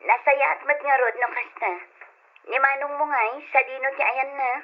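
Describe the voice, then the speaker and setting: A busy, brisk wife and mother in a radio drama. Her voice sits fairly high for a woman, and the recording is muffled like an old broadcast. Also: steady tone. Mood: motherly. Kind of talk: Ilocano radio drama acting.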